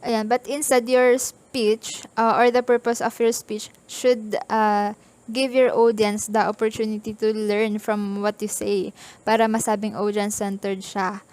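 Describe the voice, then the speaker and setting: A woman's voice: medium pitch, level tone, measured pace, darkish tone, clear, resonant tone. A woman with a Filipino accent lecturing as a teacher, explaining step by step in an instructional way with frequent check-ins. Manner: instructional, mixes English and Tagalog, frequent check-in tags.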